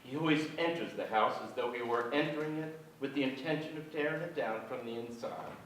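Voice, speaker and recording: An actor in his sixties playing a reflective son who recounts his troubled family in a measured literary stage reading. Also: mid-range voice, fairly bright tone, close to an everyday voice. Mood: somber, reflective. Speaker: actor reading a short story. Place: on a theater stage, indoors. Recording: very echoey theater, distant, noisy.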